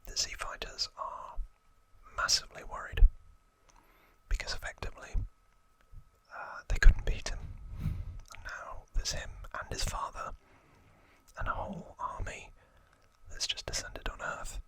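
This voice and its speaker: This is an ASMR host speaking in a steady, unhurried whisper. The sound is crisp and bright, kept close to the mic. The delivery is casual and chatty, like relaxed storytelling. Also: rough recording. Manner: casual, informal recap with repeated words for effect.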